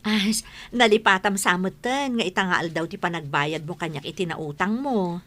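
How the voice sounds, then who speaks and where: A woman's voice: lowish voice, normal speed, fairly bright tone, close to an everyday voice. A woman playing an aggrieved village moneylender in an Ilocano radio comedy drama, scolding and emphatic, with an Ilocano accent. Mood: nagging.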